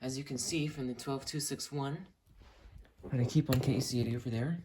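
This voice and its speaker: A medium-pitched host presents a gadget in a casual, chatty way, talking while handling it. The home recording is indoors, with some noise and clicks behind the voice. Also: fairly even intonation, a little fast. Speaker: host of a gadget review video. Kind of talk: casual hands-on product review.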